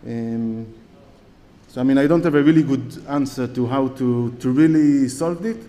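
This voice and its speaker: A man's voice: mid-range voice, moderate pace, dark tone. A man with an Israeli accent giving a conference talk from a lectern, hesitant with many fillers and restarts. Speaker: conference speaker. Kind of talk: conference talk with audience questions. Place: large conference hall on stage. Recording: long hall reverb.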